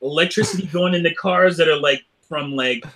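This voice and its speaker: A man chatting casually as a podcast host, riffing in an animated, profane way and often chuckling. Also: a little high, brisk. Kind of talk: casual film banter.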